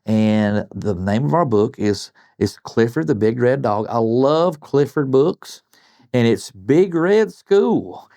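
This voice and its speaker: An older man reading a bedtime story to children, expressive, with chatty asides and questions to his listeners. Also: medium pitch, slow, dry recording. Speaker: guest storybook reader, retired principal.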